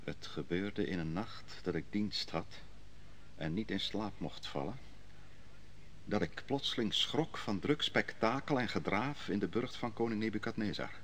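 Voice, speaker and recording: An actor playing the prophet Daniel in an old radio drama, telling his story in a measured, solemn way. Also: fairly low pitch, a bit bright, a little hiss or hum. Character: prophet Daniel, a calm, grave advisor. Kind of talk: 1950s-60s radio drama acting.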